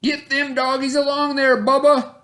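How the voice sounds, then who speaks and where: Shrill bossy cowboy brat, a man putting on the voice. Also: completely flat delivery, normal speed, pushed and bright. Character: bossy spoiled young cowboy stepbrothers, male.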